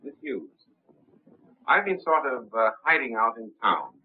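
An actor playing a cool, suave gentleman sleuth in a 1930s film, speaking smoothly and politely on a tinny soundtrack. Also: mid-range voice, very animated, a little slow, a little hiss or hum. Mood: easy, composed.

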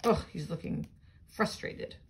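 A woman reading a children's picture book aloud in an expressive, child-directed way, with chatty asides. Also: measured pace, slight background noise. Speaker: storybook reader.

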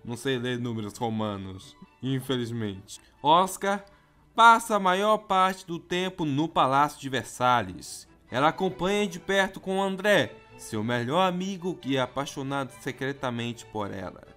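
A man's voice: mid-range voice, animated, unhurried, sharp tone, soft background music. A man with a Brazilian accent hosting a manga commentary in an informal, slangy, casual way. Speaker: host of a manga commentary show.

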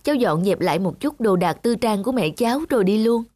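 A woman's voice: medium pitch, expressive, fast, a little mellow, no room sound, natural voice. A woman voicing a caring adult daughter in a cartoon, earnest and emotional in the way she talks. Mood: wistful.

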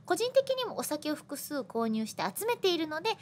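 A woman's voice: high-pitched, big pitch swings, normal speed, a little hiss or hum. A woman radio co-host chatting casually and reading out listener messages.

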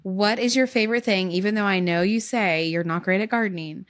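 A woman's voice: medium pitch, fairly quick. A woman in her thirties hosting a podcast and interviewing a guest, speaking in an affirming, reflective way at a studio microphone. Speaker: podcast host. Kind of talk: casual interview talk. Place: home studio with bookshelves. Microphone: studio condenser mic.

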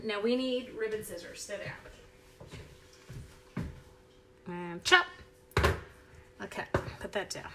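A woman's voice: medium pitch, sharp tone, slight background noise. A middle-aged woman from Canada, chatty and upbeat, leading a live card-making tutorial at her craft desk while she talks with viewers.

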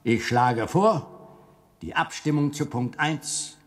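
An actor playing a reasonable, noble council member in a radio play, speaking in an earnest, measured way. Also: male voice, a little high. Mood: persuasive. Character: noble, reasonable male spring day pleading at council.

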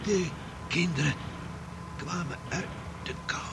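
A dazed, gravely wounded man in a radio play. His voice is high, slow and faltering, halting and repeating as if near death. There is clear noise behind him. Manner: halting, repetitive, pleading. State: weak, faltering, gasping. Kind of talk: radio drama acting.

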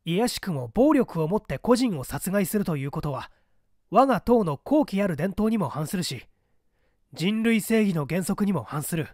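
A cool, defiant male operative of a secret party acted by a man in a dramatic audiobook. His voice is high and very animated, with a dry close sound. He argues rhetorically and formally, with a sardonic edge. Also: moderate pace, no echo. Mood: indignant. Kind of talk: dramatic audiobook character dialogue.

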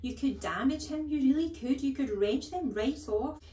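Woman voicing a gentle anxious young teacher, pleading, higher voice, storybook, echoey room. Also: normal speed, natural voice. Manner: pleading, high and worried.

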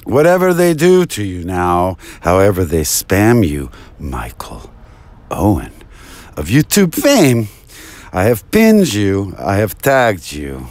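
A man's voice: on the low side, huge pitch swings, slow pace, a little mellow. A man delivering a rambling, taunting monologue like a mock phone call, theatrically drawing out and repeating his words.

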